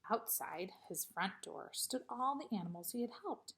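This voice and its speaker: A woman's voice: medium pitch, lively intonation, normal speed, background noise. A woman narrating a children's picture book expressively, like a teacher reading aloud.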